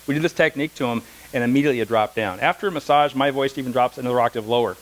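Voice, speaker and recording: Middle-aged male instructor, mid-pitched, rapid, anecdote-heavy classroom talk. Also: low-quality recording. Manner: conversational, rambling with anecdotes and asides. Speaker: massage instructor. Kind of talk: classroom lecture with demonstrations. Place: classroom, speaking unamplified.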